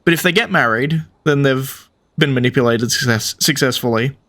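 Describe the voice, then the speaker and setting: A man's voice: medium pitch, normal speed, a bit bright. Man rambling over a game. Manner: rambling, thinking aloud, restarting sentences. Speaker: gaming streamer. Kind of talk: casual game commentary.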